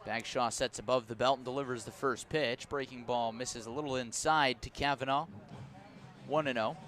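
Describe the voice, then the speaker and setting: A man calling live baseball play-by-play for radio from an outdoor ballpark, in measured, descriptive short phrases. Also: medium pitch, steady tone, a bit bright. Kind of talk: live radio sports commentary.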